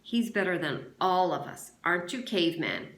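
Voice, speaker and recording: A sarcastic teenage boy played by a woman reader leads the teasing in a storybook. He goads with mock sincerity. The sound carries a clear room echo. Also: on the low side, expressive, normal speed, a bit bright. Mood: sarcastic goading. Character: mocking teenage camp boy, sarcastic ringleader.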